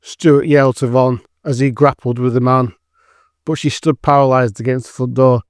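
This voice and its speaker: A male narrator reads a scripted dramatic story. His voice is smooth and clear, of medium pitch, in a very dry recording. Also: a little mellow, dry recording.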